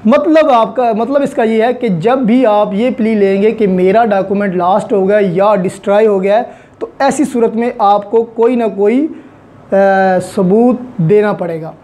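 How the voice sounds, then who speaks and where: Mellow-voiced Pakistani woman lecturing. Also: medium pitch, measured pace. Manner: didactic, mixes in English legal terms. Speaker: law lecturer. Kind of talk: explanatory online lecture with everyday examples.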